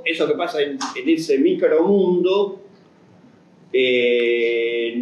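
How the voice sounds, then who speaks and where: A man with a Rioplatense Argentine accent speaks as an interviewer, with noticeable room echo. His voice is medium in pitch. He thinks aloud tentatively and often seeks agreement. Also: highs cut off. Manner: hesitant, seeks agreement with tag questions. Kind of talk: casual, tentative interview talk. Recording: roomy, echoey sound.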